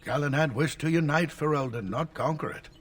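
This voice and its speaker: An actor playing an elderly scholarly tutor in a video game, lecturing formally and at length, stern and easily exasperated. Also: male voice, fairly high pitch, fairly quick, recorded from a distance, close to an everyday voice.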